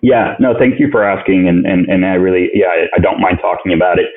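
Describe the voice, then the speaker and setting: A man from Ukraine whose first language is Russian, a podcast guest answering in a halting way that thinks aloud with many restarts, heard over a phone-line recording. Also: low voice. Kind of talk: casual interview answers. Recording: tinny phone-line sound.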